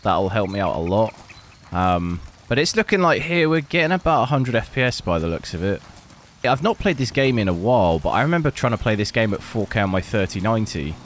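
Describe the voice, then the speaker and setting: A man with a hoarse, lost voice, hosting a PC gaming channel and commenting casually while he plays, thinking aloud. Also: lowish voice, a little fast, slight background noise. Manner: relaxed, rambling, thinking aloud. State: hoarse, losing his voice. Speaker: PC gaming benchmark channel host.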